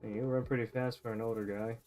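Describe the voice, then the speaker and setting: A man's voice: medium pitch, level tone, fast, a little mellow, rough recording. A young man casually commenting while he plays a game, rambling loosely with restarts and laughing often. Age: young adult. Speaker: game streamer. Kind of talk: casual commentary over gameplay. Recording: game music in background.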